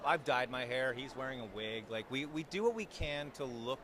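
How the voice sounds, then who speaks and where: A young man who cosplays, telling convention stories in a loud, easygoing way during an interview. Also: mid-range voice, animated, normal speed, background noise. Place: outdoors at a busy convention.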